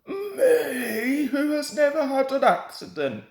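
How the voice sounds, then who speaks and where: A pompous old-fashioned storybook steam engine, acted out by a man, full of grumbling indignation. His pitch leaps about as he complains at an unhurried pace. The room rings around him. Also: big pitch swings, vocal fry, full character voice. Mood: indignant. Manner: indignant, self-important. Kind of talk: children's storybook character voice. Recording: roomy home recording with echo.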